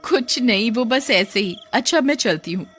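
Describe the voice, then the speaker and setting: Narrator as female cartoon neighbour, cautious, secretive, animated intonation, faint music. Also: a little high, moderate pace. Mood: evasive. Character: secretive, cautious woman next-door neighbour. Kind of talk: cartoon story acting.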